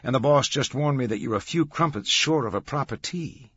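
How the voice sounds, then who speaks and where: A man's voice: medium pitch, a little fast, fairly bright tone, husky, no echo, dull audio, pitched above the performer's own voice. A man voicing a blunt, wry adult woman, a teasing no-nonsense camera operator, in an audiobook thriller.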